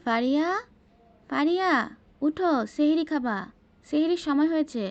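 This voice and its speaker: A woman's voice: sits high, moderate pace, a little mellow, no room sound, highs cut off. A Bangladeshi woman playing a patient, coaxing mother in an amateur cartoon.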